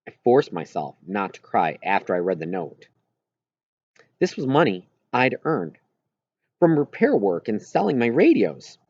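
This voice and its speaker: Man reading a story aloud, expressive pitch. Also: a little slow, husky, dry recording, lo-fi sound. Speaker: audiobook reader. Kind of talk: first-person narration of a children's novel, read aloud.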